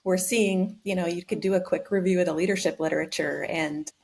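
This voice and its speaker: A woman speaking as a coach in a conversational interview, explaining in a measured way. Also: lowish voice, fairly even intonation, creaky, a little mellow. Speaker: leadership coach, podcast guest.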